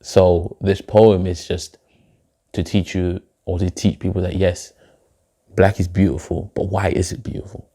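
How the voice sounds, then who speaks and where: A young man from Denmark, a poet, giving reflective, measured interview answers. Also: low-pitched, flat delivery, mellow. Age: young adult. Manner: reflective, measured, with filler phrases. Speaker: poet and guest. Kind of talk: spoken word poem, then interview answers.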